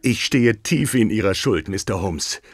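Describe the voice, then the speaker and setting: A man's voice: fairly low pitch, animated, measured pace, slightly rough, no room sound, studio quality. An actor playing a proud, effusive king in a German audio drama, speaking in a grand, courtly way. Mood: grateful, grand.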